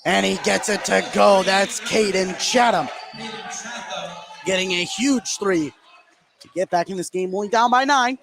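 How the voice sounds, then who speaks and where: An American male play-by-play announcer with a slightly high voice calls a live basketball game. He follows the action in running phrases with an excitable delivery, as on sports radio. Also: a little slow, creaky, pauses often, soft background music.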